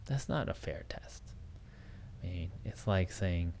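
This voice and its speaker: A man's voice: sits low, animated, slow, a little mellow, in a whisper. A man hosting a solo podcast, talking things through in a thoughtful, hesitant way with many restarts and fillers. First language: English. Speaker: podcast host.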